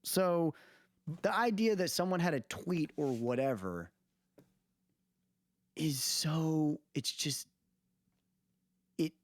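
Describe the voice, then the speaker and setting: A man's voice: a little high, expressive, slow pace, pauses often, no echo, clean studio sound. A man co-hosting a podcast in casual conversation, emphatic and repeating phrases to drive points home. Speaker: podcast co-host. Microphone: close mic on a remote recording call.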